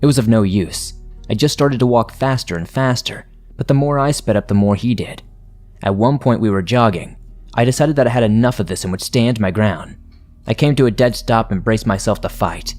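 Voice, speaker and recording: A low-pitched man reads scary stories aloud in a steady, measured way. Faint music runs quietly under his voice. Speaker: horror story narrator. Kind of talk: scripted narration of submitted stories.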